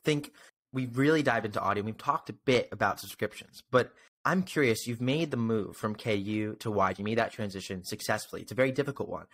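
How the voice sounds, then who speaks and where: Young male podcast host, a touch low in pitch, conversational interviewing into a close mic on a video call. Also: brisk, fairly bright tone. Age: young adult. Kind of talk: casual interview questions. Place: indoor room, on a video call. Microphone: desktop microphone close to the mouth.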